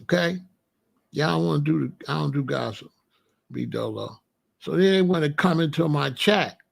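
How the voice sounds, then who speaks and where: A man with a gravelly, somewhat high voice talks bluntly through a casual monologue. His is slangy and repetitive, and he keeps asking whether you follow him. He is confrontational and plain-spoken. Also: normal speed. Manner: repetitive, keeps asking you know what I'm saying. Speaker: commentary channel host.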